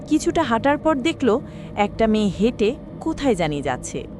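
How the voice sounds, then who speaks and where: A woman's voice: on the high side, sing-song, moderate pace, noisy background. A woman narrator reading a scripted horror story.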